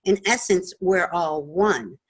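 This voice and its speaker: A woman's voice: lowish voice, lively intonation, takes it slowly, husky. Earnest woman hosting an online radio show. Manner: earnest and enthusiastic, with scripted-sounding openings.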